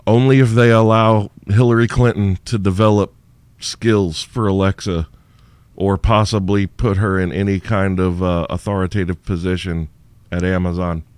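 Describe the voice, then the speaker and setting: Low-voiced, sleepy, profane male podcaster. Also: fairly even intonation, normal speed, darkish tone, clean tone, no echo. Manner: profane, irreverent, rambling. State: sleepy, tired. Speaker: podcast host. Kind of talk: crude solo Q&A podcast.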